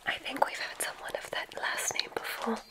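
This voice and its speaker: A woman whispering in a breathy, gentle way, playing a gracious witch dean in an ASMR roleplay. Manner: gentle, drawn-out, effusively complimentary. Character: gracious, welcoming witch dean of a magic school.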